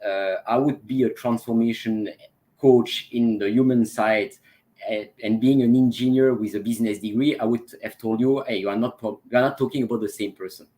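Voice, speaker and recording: A Belgian man in his forties speaks as a guest in a casual online interview. He talks at an ordinary pitch for a man, pausing often on fillers and restarting his phrases as he goes. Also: steady tone, dark tone, low-quality recording. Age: 40s. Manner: hesitant, many fillers and restarts. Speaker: guest, transformation coach.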